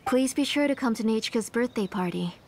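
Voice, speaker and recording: A woman playing a polite, firm and protective mother in a video game, speaking in a measured way. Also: a little high, expressive, darkish tone, slight background noise. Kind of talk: video game character acting.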